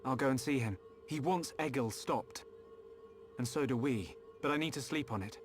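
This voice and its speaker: A man's voice: medium pitch, normal speed, soft background music, lightly acted. An actor playing an earnest, polite and determined young male hero in a video game cutscene, over noise. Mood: polite, subdued.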